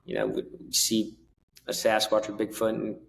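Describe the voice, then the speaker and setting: A man's voice: lowish voice, sing-song, normal speed, creaky, a little hiss or hum, low-quality recording. A man who is a podcast guest, telling a story in a laid-back, casual way. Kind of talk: casual interview conversation.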